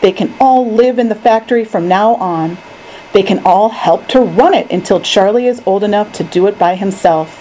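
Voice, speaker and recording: A woman voicing an eccentric, excitable male candy maker from a storybook, emphatic and commanding. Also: medium pitch, normal speed, a little hiss or hum, natural voice, low-quality recording. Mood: excited, decisive.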